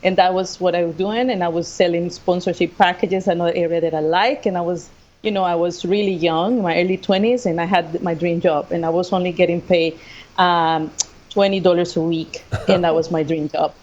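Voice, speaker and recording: A woman's voice: lowish voice, fairly even intonation, a little fast, darkish tone, muffled recording. A woman with a Costa Rican Spanish accent, a native Spanish speaker, telling her own story conversationally as an interview guest. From Costa Rica. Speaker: guest, assistant professor of sports management.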